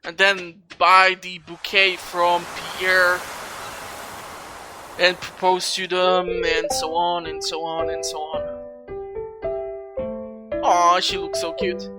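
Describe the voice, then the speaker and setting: Loud game music plays behind a video game host of medium-pitched, androgynous voice. The commentary is casual and informal, talked through as a thinking-aloud walkthrough for viewers. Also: monotone, slow, pauses often. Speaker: gaming YouTuber, walkthrough host.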